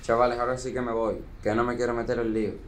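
A man's voice: medium pitch, flat delivery, fast, slight background noise, lightly acted. A teenage male student with an Andalusian accent playing a principled, reproachful young footballer in an amateur radio play. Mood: uneasy. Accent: Andalusian Spanish. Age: teens. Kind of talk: amateur radio drama acting.

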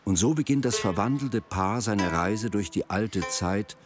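Low-voiced man narrating a radio drama. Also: measured pace. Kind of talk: scripted radio drama narration of a Japanese folk tale. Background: plucked string music.

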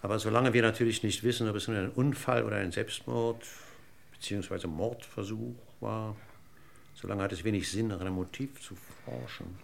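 An actor playing a seasoned police inspector in a radio crime drama, deliberate and insinuating, with ironic courtesy and a gravelly voice. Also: male voice, fairly low pitch, steady tone, slight background noise. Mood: musing.

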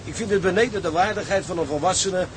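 Man acting a tugboat engineer, dry grumbler, slightly high pitch, radio play, audible engine ambience. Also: fast, natural voice. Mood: mocking, grumbling. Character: wry, grumbling male tugboat chief engineer. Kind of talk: Dutch radio drama acting. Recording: clear sea and engine ambience noise.

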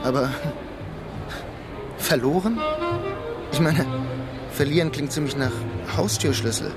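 A man's voice: a little high, unhurried. An actor playing a bitter, lovelorn young man in a radio crime drama, with a sardonic and brooding manner. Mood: sarcastic, wounded. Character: bitter lovelorn young man working a menial job in Queens. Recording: radio play with loud music underneath. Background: music.

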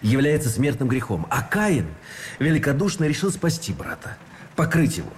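An actor playing an earnest Russian army officer in a radio play, philosophizing and arguing through rhetorical questions. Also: male voice, medium pitch, big pitch swings, moderate pace, a little hiss or hum, lightly acted. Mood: earnest, persuasive.

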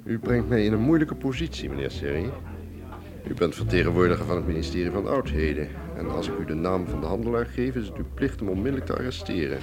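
A man playing a shrewd, self-assured investigator in a radio drama, with a suave, deliberate way of talking. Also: deep voice, big pitch swings. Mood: smooth, tactful. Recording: radio play with music underneath.

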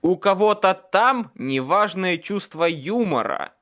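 A man's voice: fairly high pitch, very animated, unhurried, fairly bright tone, pitched above the performer's own voice, full character voice. A man playing an exasperated, sardonic wizard in a storybook, urgent and exclamatory.

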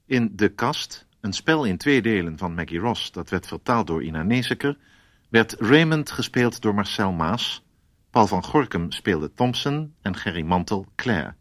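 A male radio announcer reads scripted play credits in a fairly low voice. The sound is dry, with little room in it. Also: expressive, unhurried, fairly bright tone, no room sound.

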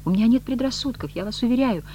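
Audiobook role: proud, distressed woman, played by a male reader, lifted pitch, emotional, indignant or pleading, faint noise. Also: lively intonation, fast talker, warm tone. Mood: unhappy confiding. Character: proud, distressed unhappily married woman, wary then pleading.

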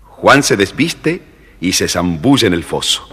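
A man's voice: low voice, big pitch swings, unhurried. A man narrating a scripted children's fairy tale as the storyteller. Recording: reverberant, noisy old story record.

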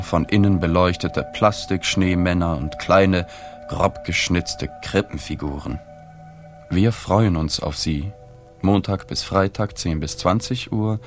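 An actor playing a reserved journalist who narrates a radio drama in the first person, restrained and matter-of-fact. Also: male voice, deep voice. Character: reserved, observant male journalist recounting a sad story.

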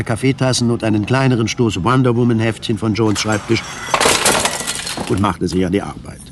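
A man narrating a scripted radio drama in a measured, literary storytelling manner. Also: mid-range voice, slight background noise.

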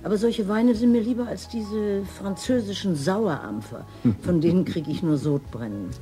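An actress playing an absent-minded police detective in a radio crime drama, casual and dryly ironic. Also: female voice, mid-range voice, normal speed, mellow, soft background music, natural voice. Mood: relaxed.

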